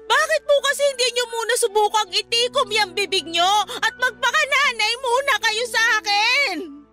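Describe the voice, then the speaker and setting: Pleading young mother, very high voice, bright, tearful, shaky, radio drama. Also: expressive, normal speed, heavily acted. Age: 30s. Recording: radio drama with music bed.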